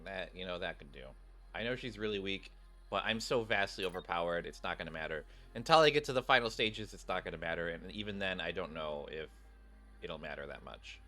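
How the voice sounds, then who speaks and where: A man's voice: low-pitched, a little fast, sharp tone, faint music behind. A man giving casual live commentary as a game streamer.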